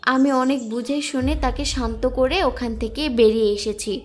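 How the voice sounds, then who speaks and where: A woman's voice: sits high, moderate pace, lightly acted. A woman voicing an earnest, compassionate young married villager in a cartoon, pleading and persuasive. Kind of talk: cartoon voice acting.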